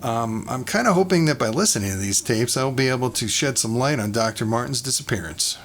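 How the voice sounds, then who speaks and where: An actor playing a fussy, rambling neuroscientist who records a personal log in a halting, conversational way. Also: male voice, mid-range voice, expressive, fairly bright tone. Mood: rambling, curious. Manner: halting, digressive, conversational asides. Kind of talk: audio drama monologue as recorded log.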